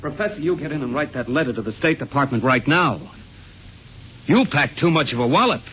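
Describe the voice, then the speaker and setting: An actor playing a plain-spoken, excitable friend and narrator in an old radio drama, folksy and slangy. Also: male voice, medium pitch, fairly quick, background noise, natural voice. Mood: alarmed. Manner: folksy, slangy, wide-eyed. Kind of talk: 1950s radio drama acting. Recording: muffled old radio recording with music.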